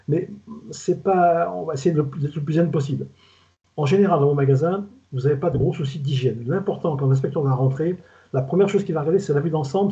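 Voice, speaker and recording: Older man, southern French accent, retired inspector type; rapid, rambling, colloquial delivery, mellow tone, lo-fi call audio. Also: on the high side. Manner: fast, rambling, colloquial, stumbles and repeats words. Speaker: retired food safety inspector, guest expert. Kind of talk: casual webinar talk. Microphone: video call. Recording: dull lo-fi video call audio.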